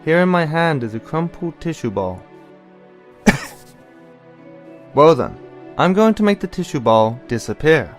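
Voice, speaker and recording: A man playing a caring, bookish older brother in an audio drama, explaining things in a measured, slightly formal way. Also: fairly high pitch, very animated, soft background music. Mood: knowing, patient. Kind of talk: anime-style audio drama acting.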